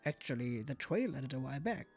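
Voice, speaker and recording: Brief: haughty male cat major for a visual novel, played by a man, lively swooping delivery, impatient, music underneath. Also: mid-range voice, fairly quick. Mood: dismissive. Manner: pompous, condescending. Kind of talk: visual novel character read aloud. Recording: music behind the voice.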